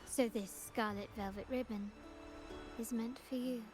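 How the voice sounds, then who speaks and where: An actress voicing a shrewd, composed noblewoman agent in a video game, speaking in formal, measured, articulate phrases. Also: a little high, steady tone, natural voice. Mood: coolly probing. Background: game music.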